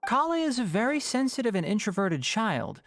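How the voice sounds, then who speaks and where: A man voicing a sharp young male scholar in a video game, measured and dryly sarcastic. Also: high-pitched, big pitch swings, no room sound, clean studio sound, heavily acted. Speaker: scholar and guardian. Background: game music.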